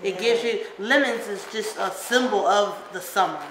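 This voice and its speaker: A woman's voice: medium pitch, moderate pace. A middle-aged woman co-hosting a home cooking demonstration, upbeat and enthusiastic. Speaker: cooking show co-host. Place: home kitchen, indoors. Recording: echoey kitchen with loud background noise and clicks.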